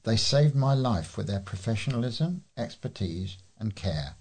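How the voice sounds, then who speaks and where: Husky, low British man reading aloud. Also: expressive, unhurried, darkish tone. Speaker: volunteer newspaper reader. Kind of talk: reading readers' letters aloud.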